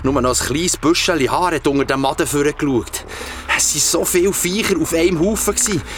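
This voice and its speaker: A man with a Swiss German accent playing an ordinary, excitable guy who retells a horror date in an audio drama, colloquial and vivid. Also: on the high side, a little fast, slight background noise, lightly acted. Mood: horrified, breathless. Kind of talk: audio drama first-person storytelling. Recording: studio audio drama with music underneath.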